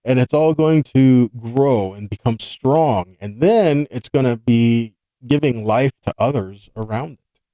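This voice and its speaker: Guy doing a casual bible study talk, soft mellow midrange voice, keeps checking in with questions, earnest. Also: mid-range voice, measured pace, dry recording, low-quality recording. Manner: conversational, asks rhetorical questions and checks in with listeners; earnest, explanatory, repeats points for emphasis. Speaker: Bible teacher hosting a weekly scripture study. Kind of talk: informal teaching sermon.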